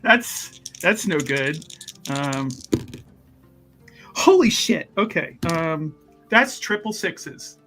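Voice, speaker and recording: A man with a high, bright voice plays in a tabletop roleplaying game. He talks casually and improvises his character's actions in the third person, like an animated storyteller. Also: very slow, long pauses, soft background music.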